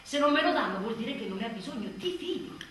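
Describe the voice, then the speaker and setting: A woman's voice: fairly high pitch, lively intonation, quick pace, a bit bright. An actress playing an overwhelmed new mother in a wry, emphatic theatrical monologue, with abrupt swings in volume. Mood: exasperated. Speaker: stage actress performing a monologue. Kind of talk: theatrical comic-dramatic monologue. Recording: echoey room, distant mic, faint noise.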